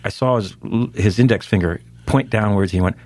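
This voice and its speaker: A man co-hosting a talk show, telling a story in a measured way that builds suspense. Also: lowish voice, darkish tone, no room sound. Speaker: co-host.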